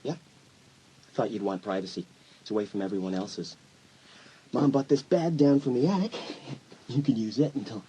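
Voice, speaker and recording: A man's voice: medium pitch, normal speed, pauses often, warm tone, lightly acted. A man playing a teasing, nonchalant teenage stepbrother in an audio drama, casual and wry. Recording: faintly noisy old cassette.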